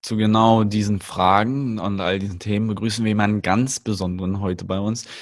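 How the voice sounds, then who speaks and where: A man's voice: on the low side, fairly even intonation, brisk, dry recording, clean studio sound. A man hosting a podcast, introducing a guest and joking playfully. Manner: jokey, playful. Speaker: podcast host. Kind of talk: casual podcast chat.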